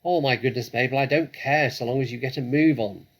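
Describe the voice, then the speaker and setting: A man voicing a bossy, impatient mistress of the house in a storybook, calling out loudly and snappishly. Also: mid-range voice, brisk, voice pushed up, lightly acted. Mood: exasperated.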